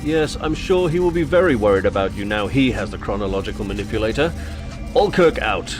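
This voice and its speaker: A man's voice: lowish voice, lively intonation, a little fast, over music, natural voice. A man playing a stern, exasperated general in a sci-fi audio drama, urgent and commanding.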